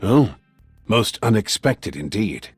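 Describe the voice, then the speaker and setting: A man's voice: fairly low pitch, very animated, slow, husky, dry recording, soft background music, exaggerated voice. A man voicing a smug, menacing authority figure in a video game, with a taunting, condescending, theatrical delivery. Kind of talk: English video game voice acting.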